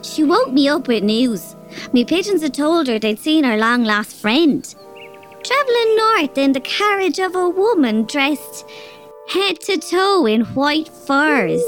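Cheeky irish robber girl played by a woman, bossy and blunt, high lively voice, soft music. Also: slow, full character voice. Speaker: narrator. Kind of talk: audio drama acting.

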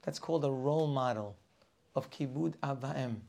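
A man's voice: medium pitch, normal speed, gravelly, a little mellow. A man teaching a weekly Torah class, preaching with passion, firing rhetorical questions and sometimes dropping to a near whisper.

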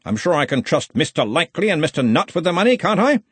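A man acts out a commanding old-guard wizard in a fantasy storybook. He is loud, brisk and jovially threatening, pitched up with big swoops and a husky edge. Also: huge pitch swings, no echo, exaggerated voice. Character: commanding, jovial but menacing senior male wizard, university head.

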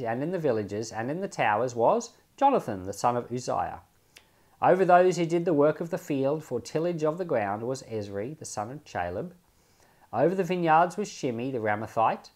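A man, a pastor, reading scripture aloud and commenting on it informally, with measured, deliberate phrasing. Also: medium pitch. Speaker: pastor and Bible podcast host.

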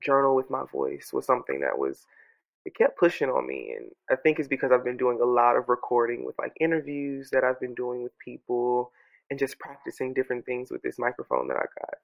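A man with a stuffy, congested voice talks softly and earnestly at home, musing in loops and often restarting his thoughts. His tone has a gravelly fry at the edges and sits in a middle range. The recording through his home microphone sounds a bit dull and lo-fi. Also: mid-range voice, fairly quick. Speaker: podcast host journaling aloud. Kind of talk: reflective personal audio journal. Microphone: own studio microphone.

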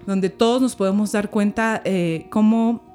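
Woman guesting on a podcast, calm and reflective, bit of music under. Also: medium pitch. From Peru. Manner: thoughtful, measured, rhetorical questions. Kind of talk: reflective conversation.

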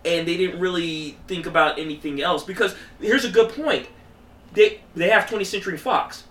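A man's voice: sits high, moderate pace, pushed and bright. A man co-hosting a podcast in casual, opinionated chat, often repeating words as he argues his point. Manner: hesitant, repeats words while arguing. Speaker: podcast co-host. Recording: roomy, distant mic, light background noise.

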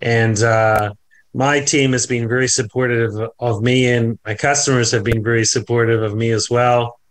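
A man's voice: mid-range voice, fairly even intonation, moderate pace. A man from New Zealand, a company founder and podcast guest, talking in a friendly way with fillers and repeats. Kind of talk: podcast interview.

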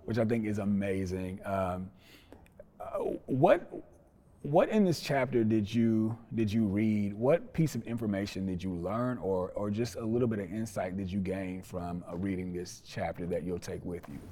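Male podcast host, dark relaxed tone, measured and appreciative interview style. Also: on the low side. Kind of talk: conversational interview hosting.

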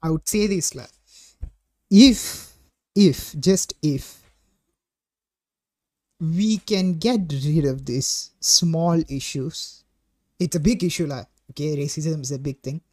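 Eighteen-year-old Malaysian English-accented male host; blunt, profane, animated delivery. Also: fairly high pitch, slow, pauses often. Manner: blunt, swears freely, animated. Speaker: podcast host. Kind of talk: casual podcast chat.

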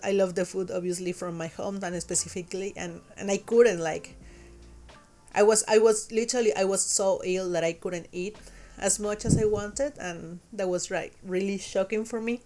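A woman's voice: mid-range voice, fairly even intonation, normal speed, dark tone, soft background music. Young Mexican woman hosting a chat. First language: Spanish. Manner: hesitant, frequent fillers and restarts. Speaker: podcast host. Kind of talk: casual chat.

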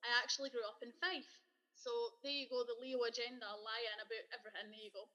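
A young Scottish woman in her twenties with a bright, clear voice pitched a little high. She chats loosely on a podcast, teasing and laughing often, and her voice carries a long reverb tail. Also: little pitch movement, fast talker, lo-fi sound. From Scotland. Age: 20s. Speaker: podcast guest. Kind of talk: casual chat.

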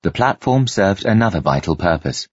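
Male storyteller for dramatic fiction narration; low, slightly rough voice, measured and expressive. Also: no echo, lo-fi sound. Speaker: audiobook narrator.

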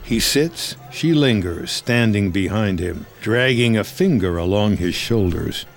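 A man narrating scripted drama action in measured, terse storytelling. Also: lowish voice, expressive, vocal fry.

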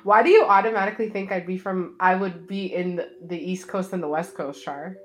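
A woman's voice: lowish voice, brisk. A woman from Canada chatting casually on a podcast, talkative and laughing often. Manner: laughing, talkative, playfully defensive. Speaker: podcast guest. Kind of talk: casual chat.

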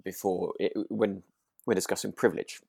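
Low, creaky-voiced man chatting. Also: little pitch movement, normal speed, darkish tone, dry recording, clean studio sound. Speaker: podcast co-host. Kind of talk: relaxed casual chat.

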